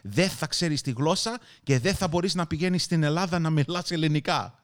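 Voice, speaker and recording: A man's voice: a little high, fast. A man with an Australian accent hosting a podcast, talking casually and eagerly with frequent ums and restarts. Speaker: podcast host.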